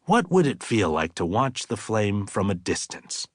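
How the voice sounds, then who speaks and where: A man voicing an earnest, reflective middle-aged father in an audiobook, musing deliberately and with emphasis. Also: lowish voice, big pitch swings, dry recording, studio quality.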